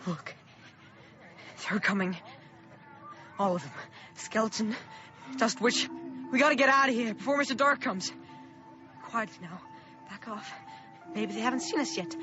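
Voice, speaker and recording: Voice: mid-range voice, normal speed, pauses often, music in the background, natural voice. A performer playing an earnest, anxious thirteen-year-old small-town boy, urgent in manner, in a radio drama. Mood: hushed, fearful. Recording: muffled, noisy radio play recording.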